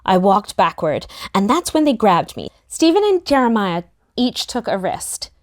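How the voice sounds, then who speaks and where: A woman narrating a first-person story in an expressive, youthful storytelling manner. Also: mid-range voice, measured pace. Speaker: narrator in a book table read.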